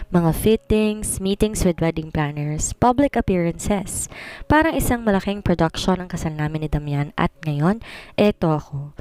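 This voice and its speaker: A woman's voice: on the low side, a little mellow, dry recording. A woman with a Filipino accent reading a dramatic first-person romance story as scripted narration.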